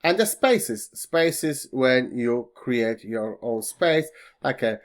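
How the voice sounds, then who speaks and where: Man, native Polish speaker, tutorial host, very unhurried delivery, middle pitch, frequent ums and uhs. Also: expressive. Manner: hesitant, many fillers, non-native phrasing. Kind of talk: screen-recorded software walkthrough.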